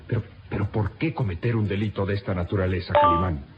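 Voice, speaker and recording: A man's voice: sits low, faint music behind, lightly acted. An actor playing a dutiful, incredulous police captain in a radio serial, formal and insistent as he questions a prisoner. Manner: insistent, formal, halting with disbelief. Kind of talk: old radio serial acting. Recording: dull, noisy old radio recording.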